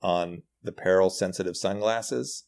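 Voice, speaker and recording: Low-pitched man in casual podcast chat. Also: measured pace, gravelly, a little mellow. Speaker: guest, comedy writer and actor.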